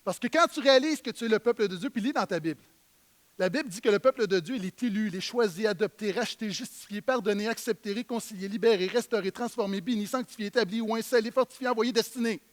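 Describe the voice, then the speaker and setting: A man with a Québécois French accent preaching a sermon in church, emphatic, swinging between loud stresses and quiet low lines. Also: fast talker. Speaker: pastor.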